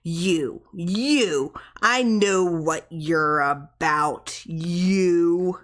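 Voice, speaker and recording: A woman's voice: lowish voice, drawn-out words. Woman acting an angry, paranoid man. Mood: furious, paranoid. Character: fearful, angry man obsessed with his own skeleton.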